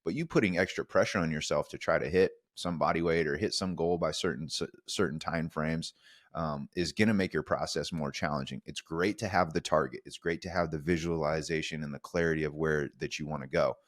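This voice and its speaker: Deep-voiced earnest podcast coach. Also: male voice, fairly quick, no echo, studio quality. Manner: earnest, coaching, calls listeners "dude". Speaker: podcast co-host. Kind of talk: casual podcast talk.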